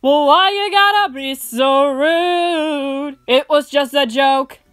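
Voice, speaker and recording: A woman's voice: high-pitched, slow, a bit bright, faint music behind. A woman voicing a giddy, teasing fan who asks questions in a comic dub.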